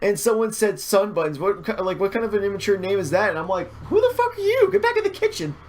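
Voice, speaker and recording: A man hosting a casual podcast interview, speaking informally in a halting way. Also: sits high, big pitch swings, a little mellow. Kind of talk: casual chat.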